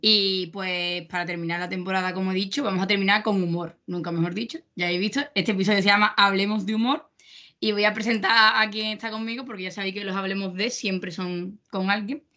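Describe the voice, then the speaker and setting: A woman's voice: mid-range voice, steady tone, fast, bright, forceful tone. A young woman hosting her podcast in a loose, chatty way, with frequent restarts. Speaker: podcast host.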